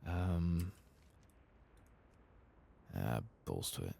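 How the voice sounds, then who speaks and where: A soft-spoken man giving rambling ASMR commentary as he plays a game, sometimes dropping into a whisper. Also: low voice, very animated, very slow, vocal fry. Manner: rambling, musing to himself; often drops into whispers. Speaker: host of a gaming playthrough channel.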